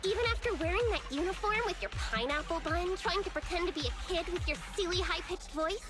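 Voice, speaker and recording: A woman's voice: very high-pitched, fairly quick. An actress playing a precocious, blunt schoolgirl in a video game, sassy and dismissive of grown-ups, earnest and determined underneath. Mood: scornful, sassy. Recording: game music behind the voice.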